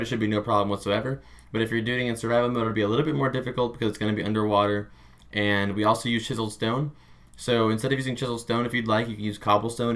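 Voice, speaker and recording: A man casually introducing his tutorial video, chatty and rushed, with filler ums. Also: lowish voice, fairly bright tone. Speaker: gaming tutorial host.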